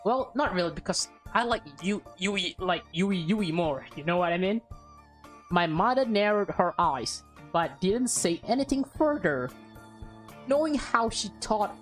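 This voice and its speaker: Female gaming host, unhurried, narrating a visual novel with chatty asides over music. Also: medium pitch. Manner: self-correcting, chatty, breaks off to comment and giggle. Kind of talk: reading a visual novel aloud with casual asides.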